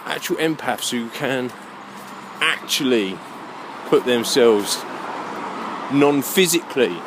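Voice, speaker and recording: A man with a mid-pitched voice thinks aloud as he walks. His delivery is halting and musing, often turning into questions to himself. He is recorded outdoors with clear background noise around him. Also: lively intonation. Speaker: vlogger. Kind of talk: rambling walk-and-talk monologue. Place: outdoors, walking along a muddy alleyway.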